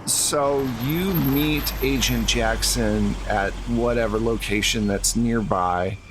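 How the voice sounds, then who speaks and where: A man's voice: medium pitch, takes it slowly, noisy background. A man narrating casually as the game master of a tabletop roleplaying game.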